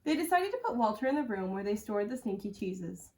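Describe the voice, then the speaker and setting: A woman's voice: mid-range voice, sing-song, fairly quick, a little mellow. A woman reading a children's picture book aloud as a storyteller, recorded at a distance from the microphone. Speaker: school storyteller.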